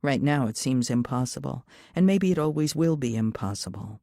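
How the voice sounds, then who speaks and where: A woman's voice: very deep voice, expressive, normal speed, dark tone, no echo, studio quality. A woman reading scripted first-person thriller narration as an audiobook narrator.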